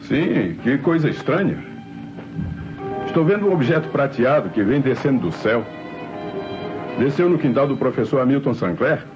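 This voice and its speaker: An actor playing a curious suburban husband in an old radio drama. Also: male voice, medium pitch, sing-song, moderate pace, long pauses, natural voice. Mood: intrigued. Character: suburban husband curious about a strange sight. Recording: dull old radio recording with music.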